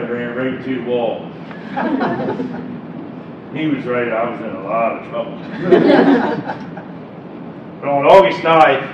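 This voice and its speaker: A man with a froggy voice, just over bronchitis, giving an animated storytelling talk as a guest speaker in a university lecture hall. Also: medium pitch, noisy background. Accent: Maryland. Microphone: far room mic. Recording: distant, muffled, echoey hall recording.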